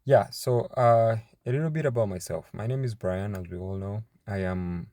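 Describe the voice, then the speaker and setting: Young guy from uganda, low gravelly voice, lots of uhs and restarts, dry close sound. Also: warm tone, no room sound. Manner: halting, many fillers and restarts. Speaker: startup founder. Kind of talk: casual personal introduction to camera.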